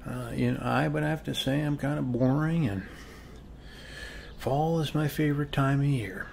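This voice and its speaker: Friendly suburban neighbor guy a man acting, American, soft and gravelly, meandering folksy ASMR chat, slight background noise. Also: medium pitch, moderate pace, close to an everyday voice. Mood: friendly rambling. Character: friendly, wholesome, rambling suburban male neighbor. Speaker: ASMR role-play performer. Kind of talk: ASMR role-play, rambling casual chat. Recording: faint steady background noise.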